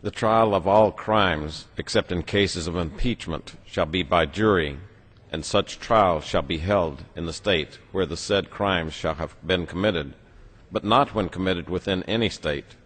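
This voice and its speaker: A man with a deep bass voice gives a formal reading as a legislator. His voice resounds in a reverberant chamber. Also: measured pace, a bit bright. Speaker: legislator reading a legal text aloud. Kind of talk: formal read-aloud.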